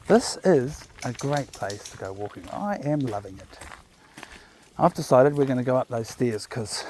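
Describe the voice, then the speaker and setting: A man's voice: medium pitch, warm tone, a little hiss or hum. Laid-back New Zealand man rambling outdoors. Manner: laid-back, chatty, jokey asides. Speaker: vlogger walking his dog. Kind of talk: casual rambling monologue. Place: outdoor park walking trails. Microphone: chest-mounted camera.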